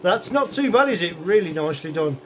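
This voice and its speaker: Croaky high-voiced man, chiptune behind. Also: lively intonation, a little fast, fairly bright tone. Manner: chatty, meandering, many ums and asides. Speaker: host of a retro computer show. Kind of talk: casual rambling review chat. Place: home hobby room with computers and a soldering bench. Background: chiptune music playing from a computer.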